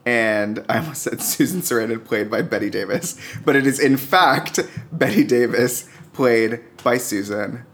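Man chatting casually, halting podcast guest. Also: mid-range voice, fairly bright tone. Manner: halting, repeatedly checks in with "right?". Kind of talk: casual TV review chat.

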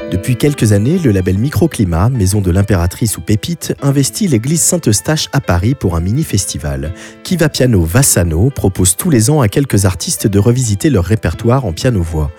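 A man with a low, mellow voice reads radio announcements in an even, scripted way. Faint music sits under his voice. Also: brisk, few pauses. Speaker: radio presenter.

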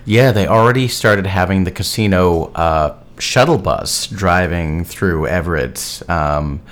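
A man from Massachusetts, an actor co-hosting a podcast, talking casually and explaining things in a thoughtful, measured way. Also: sits low, a bit bright. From Massachusetts, USA. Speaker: podcast guest host, actor. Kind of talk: casual chat.

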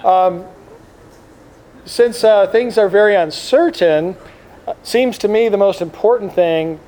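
A man giving an informal religious talk to a gathering as a priest, reflective and halting, speaking up to be heard. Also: sits high, a little mellow. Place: indoor room with air conditioning. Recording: clear background air conditioner noise. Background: air conditioner noise.

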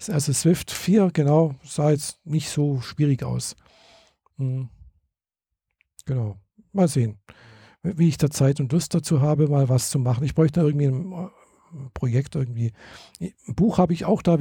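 A man's voice: on the high side, moderate pace, dark tone, husky, no echo, clean studio sound. A man, chatting casually and hesitantly, with many fillers and restarts. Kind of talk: casual chat.

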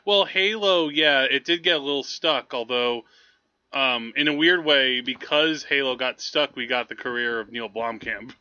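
Bright, sharp-voiced man chatting about films. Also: medium pitch, normal speed, no echo, highs cut off. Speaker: podcast co-host. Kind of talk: casual movie chat.

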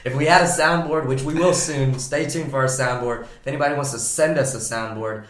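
Man, hoarse, raspy, upbeat podcast host, roomy echo. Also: mid-range voice, fairly even intonation, brisk. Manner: upbeat, talkative, joking. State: hoarse from a cold. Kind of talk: casual podcast chat. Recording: echoey room.

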